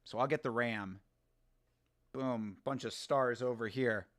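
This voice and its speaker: A man's voice: mid-range voice, unhurried, fairly bright tone, no echo, clean studio sound. A man hosting a casual live watch-along, talking in a rambling, laid-back way, swearing, laughing often and answering viewer comments. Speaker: host.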